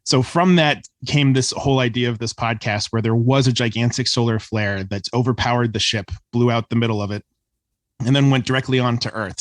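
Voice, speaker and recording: A man's voice: medium pitch, no room sound. A man giving conversational answers as a guest in a podcast interview, explaining his creative work.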